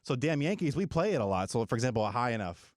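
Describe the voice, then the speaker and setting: A man's voice: medium pitch, big pitch swings, fast. A man in his thirties hosting an interview, asking friendly, rambling questions. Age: 30s. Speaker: podcast host. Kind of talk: casual interview.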